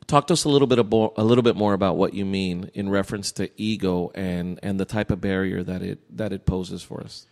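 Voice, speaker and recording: Fairly low-voiced male podcast interviewer. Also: a little fast, gravelly, hardly any pauses, darkish tone. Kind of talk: conversational debate and questioning.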